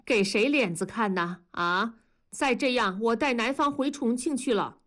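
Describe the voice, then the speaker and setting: A woman's voice: a little high, expressive, a little slow, a bit bright, voice pushed up. A woman doing a nagging Sichuan grandmother. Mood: grumbling. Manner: grumbling, complaining. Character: old grandmother from Chongqing, nagging and aggrieved.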